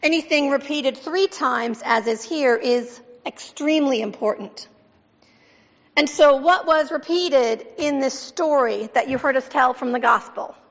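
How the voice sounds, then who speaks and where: Woman pastor preaching in a reverberant church. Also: sits high. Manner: measured, emphatic repetition, asks the congregation questions. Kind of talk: sermon with scripture reading. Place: church sanctuary. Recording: dull sound with long church reverb.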